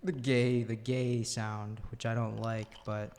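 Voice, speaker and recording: A man's voice: medium pitch, level tone, slow pace, darkish tone, smooth, big-room sound. A young man with a young-sounding voice, a guest in a casual podcast chat who swears freely. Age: young adult.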